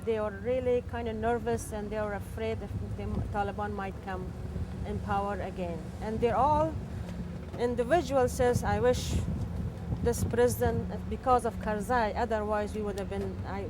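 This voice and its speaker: A woman in her fifties from Afghanistan, telling her story in an interview in a halting, emphatic way with many restarts. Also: fairly high pitch, steady tone, noisy background. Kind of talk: personal testimony in an interview.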